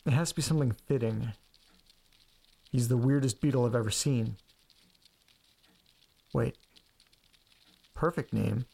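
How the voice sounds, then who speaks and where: A man's voice: medium pitch, unhurried, gravelly, long pauses, mellow, low-quality recording. Awkward, eager everyman played by a male actor, mid-range voice, casual and naturalistic audio drama style. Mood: goofy, pleased. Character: awkward, eager, goofy man with odd enthusiasms.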